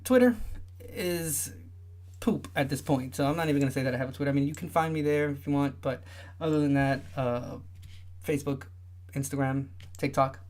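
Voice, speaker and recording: A young man with a light, high voice that listeners often mistake for a woman's. He hosts a relaxed livestream, chatting loosely and filling gaps with lots of "uh"s and restarts. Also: fairly quick. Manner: rambling, many filler sounds and restarts. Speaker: livestream host. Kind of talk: casual livestream chat.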